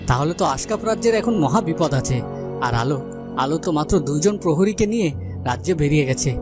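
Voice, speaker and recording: A man's voice: a little high, lively intonation, fast, lightly acted. A man playing a worried, brooding king in a fairy-tale cartoon, with dramatic, self-questioning delivery. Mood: alarmed. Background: music.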